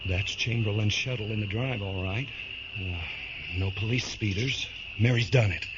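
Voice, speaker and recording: A man's voice: on the low side, moderate pace, heavy background noise, natural voice. An actor playing a desperate, hunted man in a science fiction radio drama, with an urgent, agitated delivery. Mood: anxious hope. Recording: dull radio drama mix with music.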